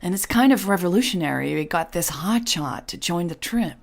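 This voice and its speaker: An enthusiastic male tech publicist in an audio romance story, acted out by a woman narrator. The voice is husky with a creaky edge, pushing its pitch emphatically and persuasively. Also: animated, moderate pace. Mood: eager, persuasive.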